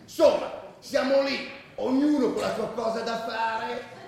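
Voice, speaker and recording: A high-pitched, rowdy football ultra. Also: male voice, unhurried, creaky, heavily acted. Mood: amused, incredulous. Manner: coarse, animated, shouty storytelling. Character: rowdy, foul-mouthed male football ultra telling war stories. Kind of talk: radio drama monologue, spoken like street anecdote. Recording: roomy, distant echoing radio recording.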